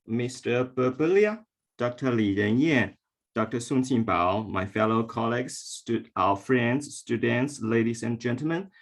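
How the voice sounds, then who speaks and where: A middle-aged Chinese language teacher, a man speaking with a Chinese accent, giving a halting ceremony speech with many fillers and restarts. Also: mid-range voice.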